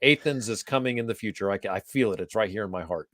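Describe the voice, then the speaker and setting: A man's voice: lowish voice, quick pace, dry recording, clean studio sound. A man hosting a casual live online interview, chatty and playful as he welcomes his guest. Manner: chatty, jokey, animated. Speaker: host. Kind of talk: casual livestream interview chat. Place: Atlanta area.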